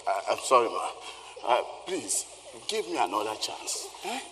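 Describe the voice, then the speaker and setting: A man's voice: fairly low pitch, big pitch swings, moderate pace, sharp tone. A man playing a cowering, apologetic henchman in a stage play, stammering and pleading. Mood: fearful, pleading. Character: nervous, cowering male henchman, apologetic bungler. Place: theatre stage in a reverberant hall. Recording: echoey hall, faint noise and ambience.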